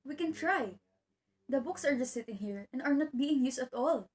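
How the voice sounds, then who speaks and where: An earnest young teacher and dutiful daughter, acted by a woman in an amateur play. Her voice sits fairly high, and she speaks with determination. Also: expressive, moderate pace, darkish tone, a little hiss or hum. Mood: pleading. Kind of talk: amateur one-act play acting.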